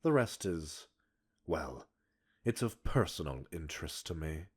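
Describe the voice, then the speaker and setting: A man's voice: extremely low pitch, sing-song, unhurried, breathy edge, heavily acted. A man playing a smug, arrogant male professor in an ASMR roleplay, erudite and teasing, flirtatious and condescending as he talks like a scientist. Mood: teasing, intense. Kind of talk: ASMR roleplay audio drama.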